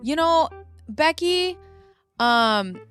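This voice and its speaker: A woman's voice: high-pitched, animated, drawn-out words, a bit bright, soft background music. A woman hosting a live stream, reading messages aloud and chatting back to her viewers by name.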